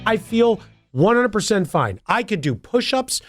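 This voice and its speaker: A man's voice: high-pitched, sing-song, measured pace, no room sound, clean studio sound, faint music behind. Congested nasal man with a head cold. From Buffalo, New York. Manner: joking, self-deprecating, rambling with fillers. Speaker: podcast co-host. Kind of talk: casual podcast banter. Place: podcast studio.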